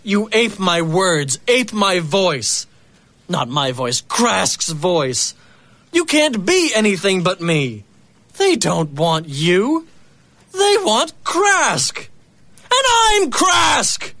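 A man's voice: high voice, big pitch swings, very slow, fairly bright tone, no echo, heavily acted. An actor playing a bitter, intense man accusing his rival in an audio drama. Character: bitter ousted male radio host Len, accusing. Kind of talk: radio drama acting.

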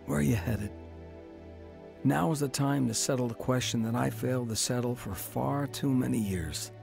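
A man's voice: medium pitch, moderate pace, gravelly, over music. A man narrating his own story of faith in a dramatized radio testimony, earnest and preacherly.

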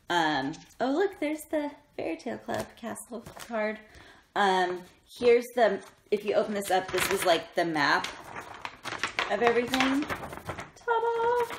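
A woman in her thirties chatting casually and excitably, with a self-deprecating, giggly manner, as a craft vlogger. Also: on the low side. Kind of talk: casual chatty haul vlog. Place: indoors in a bedroom, sitting up in bed. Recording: roomy echo, faint noise, handling clicks.

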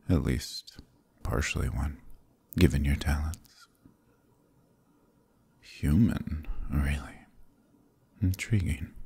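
Brief: man playing a flirtatious demon prince, very deep slow murmur, husky breathy close-mic half-whisper, teasing and commanding. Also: mellow, exaggerated voice. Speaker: voice actor in audio roleplay. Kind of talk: ASMR roleplay, close intimate murmur.

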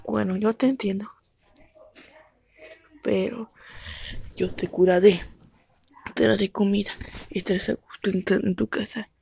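A woman voicing a possessive male owner who can turn back time, talking in a low, breathy, coaxing whisper. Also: level tone, measured pace, long pauses, warm tone, a little hiss or hum. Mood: soothing.

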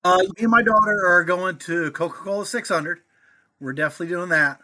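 Bright, higher-pitched middle-aged man. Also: brisk, poor audio. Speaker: podcast guest, racing fan. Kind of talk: casual chat.

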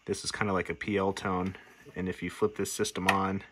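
A man's voice: low voice, little pitch movement, a little fast, background noise. A man from the United States giving a casual, plainspoken, matter-of-fact demonstration as a radio repair hobbyist. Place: indoor workshop at a workbench.

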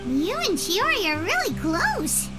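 A woman voicing an excitable young female anime game character, cute and animated. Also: very high voice, a little slow, exaggerated voice. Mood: tentative. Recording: loud music behind the voice.

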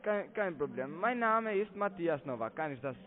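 A man's voice: fairly high pitch, expressive, moderate pace. A man working a scam call center, speaking broken German as a non-native, evasive and vulgar when pushed. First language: not German. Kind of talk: phone sales call. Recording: tinny phone line.

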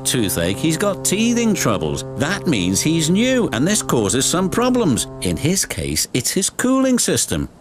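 A man's voice: fairly high pitch, wildly swooping pitch, measured pace, hardly any pauses, over music, pitched above the performer's own voice. A man voicing a knowing, patient engine who explains things, in a children's storybook. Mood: patient, explaining.

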